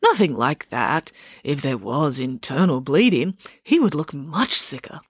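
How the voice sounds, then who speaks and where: A woman voicing a very old, deaf male country doctor who talks slowly and kindly. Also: extremely low pitch, lively intonation, slightly rough, dry recording, clean studio sound, heavily acted. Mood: doddering. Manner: slow, kindly, doddering.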